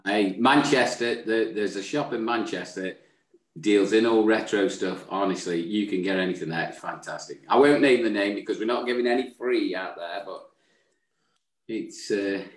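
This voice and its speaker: A man's voice: lowish voice, normal speed. A man, co-hosting a casual chat show over a video call, bluff and cheery. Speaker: co-host. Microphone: video call webcam. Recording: echoey webcam room sound.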